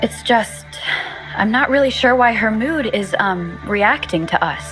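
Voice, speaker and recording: An actress playing a calm, analytical young woman in a video game, explaining in a measured, slightly unsure way. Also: fairly high pitch, soft background music, low-quality recording. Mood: puzzled.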